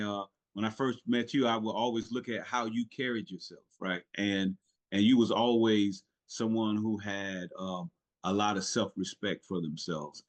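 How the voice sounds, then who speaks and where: A middle-aged man, a retired Navy chief, talking earnestly as a podcast guest, with many fillers and restarts. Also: on the low side. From South Carolina, raised in Italy. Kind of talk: casual podcast interview.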